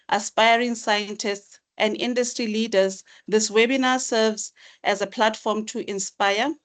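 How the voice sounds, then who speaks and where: A woman delivering formal, rehearsed welcome remarks at an online webinar. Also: medium pitch. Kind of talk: formal read-out speech.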